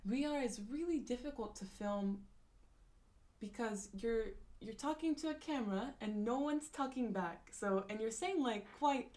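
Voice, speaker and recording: Young woman rambling on her stream, kinda high voice, tons of likes and ums, laughs a lot. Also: measured pace, a little mellow. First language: not Japanese. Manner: chatty, many fillers and restarts, frequent laughs. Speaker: live-stream host. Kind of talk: casual live-stream chat reading comments.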